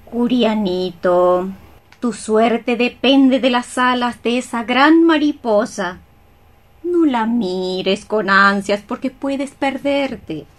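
A woman's voice: on the high side, slow. A woman playing a solemn old cockroach sorceress, declaiming poetic lines in a radio play. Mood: ominous warning. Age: older. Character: ailing old female cockroach sorceress, ominous healer and friend. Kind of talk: poetic radio theatre acting.

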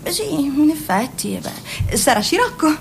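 A woman's voice: high-pitched, very animated, normal speed, gravelly, a little hiss or hum, exaggerated voice. An actress playing a fussy, doting mother who flutters and stammers. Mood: tender. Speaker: radio drama actor. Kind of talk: radio play acting.

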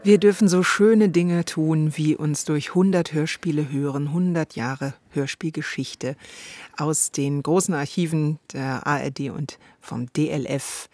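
A woman's voice: sits low, steady tone, measured pace, a little mellow, dry recording. A woman co-hosting a conversational podcast about radio drama, recorded close in a studio. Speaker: podcast co-host.

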